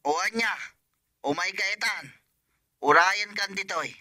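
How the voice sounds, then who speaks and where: A man's voice: high-pitched, measured pace, bright, forceful tone, no echo, clean studio sound, exaggerated voice. A man acting a pushy, cheeky stranger on a call in a comedy radio drama, insistent and teasing.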